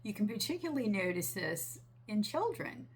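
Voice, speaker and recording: A woman channeler talking gently and earnestly, her voice raspy from a sinus infection. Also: medium pitch, very animated. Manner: slow, gentle, earnest with small restarts. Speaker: spiritual channeler. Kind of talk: relaxed monologue relaying a channeled message.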